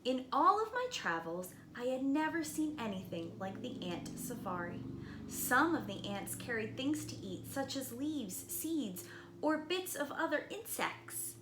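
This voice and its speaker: Female preschool read-aloud narrator: animated and dramatic, expressive storytelling, roomy sound with faint noise. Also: high-pitched. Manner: dramatic, with slowed emphasis and hushed moments. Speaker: preschool teacher reading a picture book aloud. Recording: roomy echo, light background noise.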